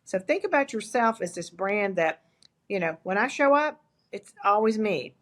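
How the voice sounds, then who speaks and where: A woman's voice: mid-range voice, moderate pace. Older woman with an East Texas drawl. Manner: candid, animated storytelling with frequent restarts. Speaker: guest, agency founder. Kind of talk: interview conversation.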